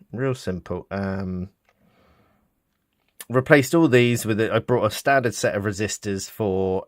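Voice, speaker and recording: A man's voice: sits low, no room sound, clean studio sound. A British man giving a casual, unscripted hands-on demonstration, thinking aloud in a hesitant way. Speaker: hobbyist repair presenter. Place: indoors, small office.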